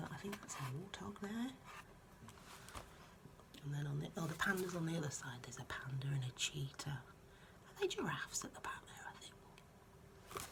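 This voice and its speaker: Low-pitched woman reading a story. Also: vocal fry, background noise. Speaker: storyteller reading a picture book aloud. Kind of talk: children's story read aloud with chatty asides.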